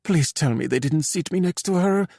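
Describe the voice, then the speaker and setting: A man's voice: fairly high pitch, expressive, moderate pace, vocal fry, a little mellow, husky, dry recording, clean studio sound, voice pushed up, heavily acted. A man voicing a playful, teasing wife with a wry manner in an audiobook.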